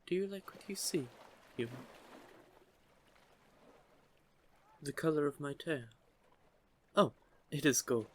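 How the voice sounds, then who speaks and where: A man voicing a curious, teasing young merman in an intimate audio roleplay, with a gently formal, measured way of talking. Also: a little high, expressive. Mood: playful teasing. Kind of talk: scripted audio roleplay, intimate one-sided conversation.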